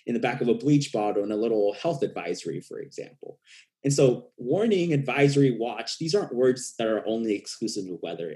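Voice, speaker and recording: An earnest young man from Peru, a native Spanish speaker, answering interview questions at length. His voice sits on the low side, and he keeps pausing on fillers and restarting his sentences as he works out his point. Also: darkish tone. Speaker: risk communication researcher.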